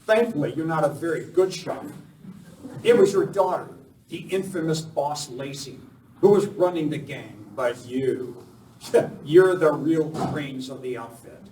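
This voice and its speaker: A man's voice: on the high side, measured pace, mellow, lightly acted. A man playing a righteous masked Western lawman in an amateur live radio play, declaiming his lines. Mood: stern, accusing. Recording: echoey room, distant mic, faint noise.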